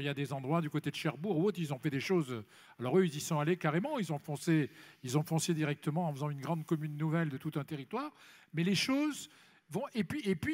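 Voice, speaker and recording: A man speaking off the cuff as an elected official on a congress panel, emphatic and repeating phrases for stress. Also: a little high. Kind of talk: impromptu panel discussion at a congress. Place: large congress hall plenary session. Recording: reverberant large hall, amplified speech.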